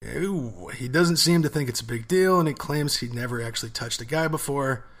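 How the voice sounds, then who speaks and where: A young man co-hosting a podcast, giving relaxed, joking advice with plenty of swearing and laughter. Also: mid-range voice, normal speed. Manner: laid-back, profane, laughs often. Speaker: podcast co-host. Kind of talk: casual advice chat.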